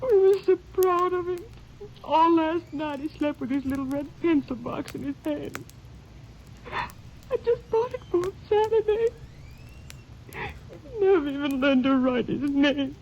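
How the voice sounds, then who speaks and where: A young mother broken by grief in an old-time radio play, her voice high and tearful. She speaks in broken, pleading phrases. The recording is dull and lo-fi with faint hiss. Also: lively intonation, measured pace, long pauses, darkish tone. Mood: grieving. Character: grief-stricken young mother who lost husband and son. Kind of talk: 1940s radio acting.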